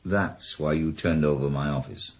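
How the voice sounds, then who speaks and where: A man's voice: rumbling low, fairly even intonation, normal speed. An actor with a Welsh accent playing a retired male ex-detective who probes with terse, dry questions in a 1980s radio drama. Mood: probing.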